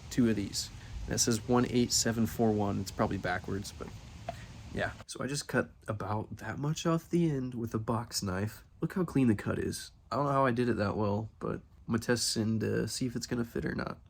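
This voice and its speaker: A man's voice: on the low side, vocal fry, a little mellow, slight background noise. A young man in his twenties rambling and joking as he shows parts and fits hoses on a car project, mostly outdoors in a driveway and filmed on a handheld camera. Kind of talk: casual DIY car build vlog.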